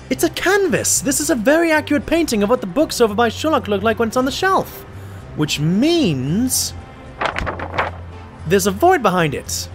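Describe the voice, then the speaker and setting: Man acting an earnest, animated doctor sidekick. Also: very high voice, normal speed, over music. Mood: excited. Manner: earnest, expressive storytelling. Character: earnest, modest male pony doctor, loyal sidekick and narrator. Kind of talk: audio drama narration and dialogue.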